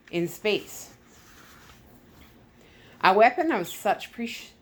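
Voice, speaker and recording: A woman voicing a gloating, talkative male Soviet KGB skipper in a storybook read-aloud, smug, deliberate and theatrical. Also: on the low side.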